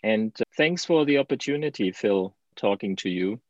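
A man's voice: lowish voice, lively intonation, normal speed, no echo. A man from Germany with a German accent, talking as a guest in a conversational interview, chatty, with restarts and light laughs. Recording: dull, lo-fi sound.

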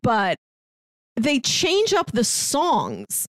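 A woman's voice: a little high, huge pitch swings, drawn-out words, no echo, clean studio sound. A woman co-hosting a podcast, chatting casually, speaking with enthusiasm and laughing easily. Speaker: podcast co-host. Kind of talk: casual chat.

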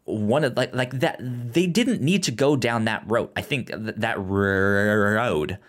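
A man co-hosting a casual film discussion, talking haltingly with repeated words and false starts. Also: lowish voice. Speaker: podcast co-host.

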